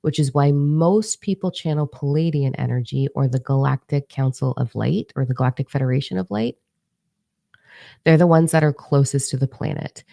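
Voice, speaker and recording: A woman with a low, gravelly voice, her first language Italian, talks in a loose, rambling monologue full of ums and self-corrections. Her tone is dark and mellow, and the close, dry recording has no room sound. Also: moderate pace, no echo. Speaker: spiritual channeler. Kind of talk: casual monologue to camera.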